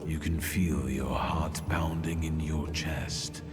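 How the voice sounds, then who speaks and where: An actor playing a resolute, menacing mystic who leads rebels in a video game, speaking in a commanding, deliberate manner. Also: male voice, extremely low pitch, fairly even intonation. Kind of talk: dramatic game dialogue. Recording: long hall reverb, loud music.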